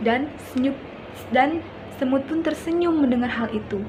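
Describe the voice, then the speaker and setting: A young woman with an Indonesian accent, a student telling a folk tale aloud in a plain, steady reading. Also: sits high, normal speed, background noise. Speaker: student storyteller.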